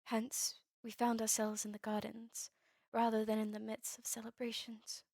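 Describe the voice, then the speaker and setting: A woman playing a guarded vampire heir in a scripted ASMR roleplay, measured, formal and cool. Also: a little high, completely flat delivery, darkish tone, no room sound, studio quality. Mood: polite, reserved.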